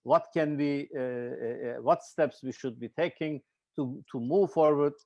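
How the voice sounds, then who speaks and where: A man with a Turkish accent hosts an online panel. His voice sits at a middle pitch with a dry, unechoed sound. He speaks informally and haltingly, often hesitating and repeating words as he goes. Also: dark tone, no room sound, highs cut off. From Turkey. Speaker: webinar host and moderator. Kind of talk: informal spoken introduction of a panel. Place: online video webinar.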